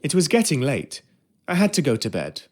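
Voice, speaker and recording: A polite ship's steward in a period adventure game, telling his memories in a measured, reflective way. His voice is slightly high and melodically varied. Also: huge pitch swings, a little hiss or hum. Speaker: actor in a narrative adventure game. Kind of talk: scripted first-person period narration.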